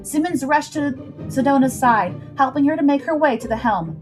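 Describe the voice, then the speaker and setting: Female storyteller, higher-pitched voice, dramatic scripted adventure narration over light background music. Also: lively intonation, normal speed. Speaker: storyteller, livestream host.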